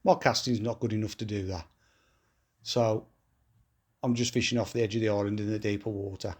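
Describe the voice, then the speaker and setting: A man's voice: lowish voice, steady tone, brisk, darkish tone, breathy edge. A man with a blocked-up nose from a cold, explaining his fishing tactics step by step in a casual, matter-of-fact way, outdoors at a fishing lake. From England. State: congested from a cold. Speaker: match angler vlogging his match.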